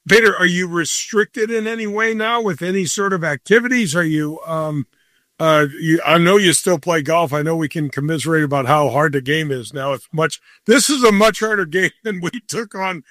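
A man's voice: fairly high pitch, normal speed, sharp tone, no echo, clean studio sound. A man in his sixties hosting a casual interview, asking friendly questions that ramble and restart. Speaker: host.

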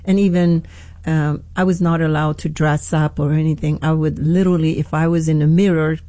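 Iranian accent lady pastor on radio, mellow voice, dry mic, lots of restarts, earnest. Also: low voice, little pitch movement, no echo. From Iran. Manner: halting, earnest, many restarts. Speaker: pastor, guest. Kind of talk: radio interview.

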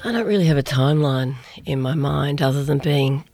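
A woman's voice: very deep voice, a little fast, mellow, dry recording. A woman journalist answering interview questions in a subdued, reflective way. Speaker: journalist guest.

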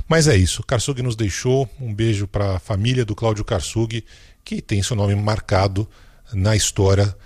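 Low-voiced Brazilian radio commentator. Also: male voice, fairly even intonation, moderate pace, darkish tone, no room sound, clean studio sound. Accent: Brazilian Portuguese. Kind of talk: on-air tribute.

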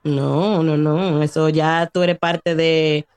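A woman's voice: low voice, little pitch movement, slow, a little mellow, dry recording. Hesitant woman in her thirties giving tax advice. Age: 30s. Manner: hesitant, many fillers, mixes in English words. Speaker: tax service guest on radio. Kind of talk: informational radio chat. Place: radio studio.

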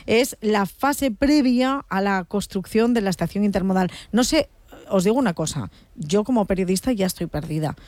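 A woman's voice: mid-range voice, lively intonation, moderate pace, darkish tone, dry recording. A woman journalist moderating a casual discussion in a wry, informal way. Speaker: journalist hosting a panel discussion.

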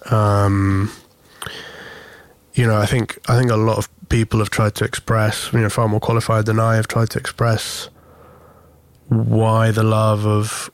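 Thoughtful man in his thirties, low flat voice. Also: a little slow. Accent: English with Greek influence. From Greece (Corfu), English mother. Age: 30s. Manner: thoughtful, searching for words, many restarts and fillers. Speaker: interview guest, singer. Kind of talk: reflective interview answers.

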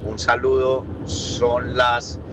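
A man's voice: medium pitch, completely flat delivery, drawn-out words. Playful paisa Colombian man, noisy background. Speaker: listener sending a voice message. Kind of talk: casual chat.

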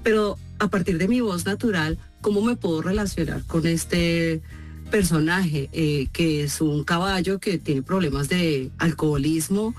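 A Colombian woman, a voice actress, answering interview questions in an expressive storytelling way. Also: on the low side. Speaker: voice actress guest.

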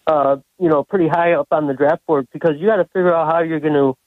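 A tinny, dry phone line carries a man calling into a sports show. His pitch moves very little. He speaks casually and haltingly, leaning on filler phrases. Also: fairly even intonation, dry recording. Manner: hesitant, says "you know" constantly. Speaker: caller to a sports radio show. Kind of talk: casual basketball breakdown. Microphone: phone call.

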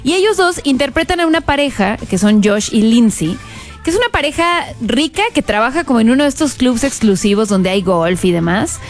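A woman's voice: fairly high pitch, brisk, soft background music. A woman hosting a casual podcast, reacting expressively and steering the talk to recommendations. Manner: expressive reactions, leads the segments. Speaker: podcast host. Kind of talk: casual chat, presenting recommendations.

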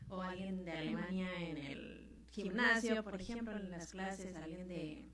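A woman's voice: lowish voice, unhurried, a bit bright, very echoey, recorded from a distance. A woman hosting a radio interview, asking conversational questions. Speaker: host.

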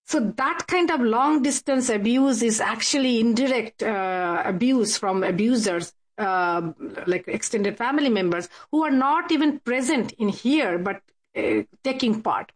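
A woman speaking as an interview guest, explaining her support work in a halting, hesitant way as she searches for words. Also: on the high side, low-quality recording. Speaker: guest, support worker for abuse victims. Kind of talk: radio interview, explaining from casework.

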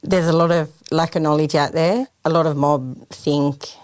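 Low-voiced Australian woman, plain-spoken. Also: steady tone, normal speed, a little mellow, dry recording, clean studio sound. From Sydney, Australia. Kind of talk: radio interview answers.

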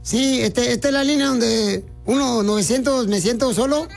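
A man voicing a cheeky, lonely male prank caller, cracking deadpan absurd jokes and teasing over the phone. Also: very high voice, normal speed, creaky, faint music behind.

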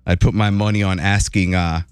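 A man hosting a morning radio show, telling entertainment news in a jokey, animated way. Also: brisk, clean tone, no echo, clean studio sound. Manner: animated, jokey, voice jumps high for emphasis.

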